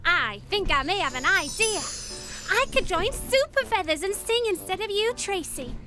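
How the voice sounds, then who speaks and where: Eager young ostrich, very high voice. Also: female voice, animated, moderate pace, over music. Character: eager, imaginative young female ostrich, sweet and helpful. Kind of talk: children's animated story character acting.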